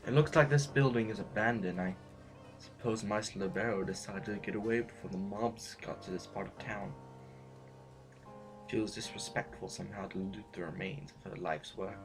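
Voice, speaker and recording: An actor in an audio drama playing an earnest, hesitant student and confidant who talks in a tentative, halting way. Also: male voice, fairly low pitch, natural voice, low-quality recording. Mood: regretful. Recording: music clearly behind the voice.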